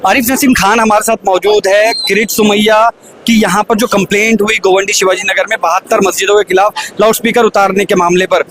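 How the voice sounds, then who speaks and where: A man's voice: high-pitched, steady tone, fairly quick, a bit bright, rough recording. A man working as a field news reporter, putting long, formal questions in an interview. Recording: faint street noise, field news recording.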